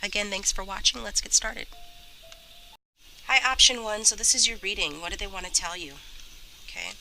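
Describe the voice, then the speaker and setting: A woman with a stuffy, slightly rough voice from a cold, chatting casually as she gives a tarot reading. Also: medium pitch, expressive, moderate pace, bright, forceful tone, soft background music, rough recording. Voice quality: a little rough. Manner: chatty, many fillers and restarts. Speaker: tarot reader.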